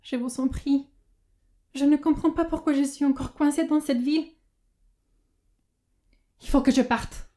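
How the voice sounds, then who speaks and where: A woman's voice: high-pitched, fairly even intonation, unhurried, long pauses, dark tone. A woman playing a frightened, agitated, pleading woman desperate to flee, in a French audio drama.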